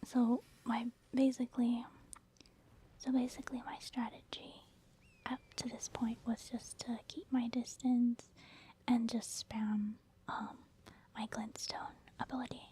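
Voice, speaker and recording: A woman giving soft-spoken ASMR game commentary in a hushed, breathy near-whisper, explaining things gently and casually. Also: a little high, little pitch movement, a little slow, a little hiss or hum. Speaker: ASMR gaming video host.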